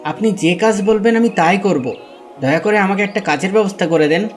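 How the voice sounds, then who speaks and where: A man's voice: sits high, brisk, soft background music, close to an everyday voice. Man acting a humble, pleading pious husband. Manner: pleading, devout. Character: poor pious husband Ali, humble and remorseful. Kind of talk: dubbed religious cartoon acting.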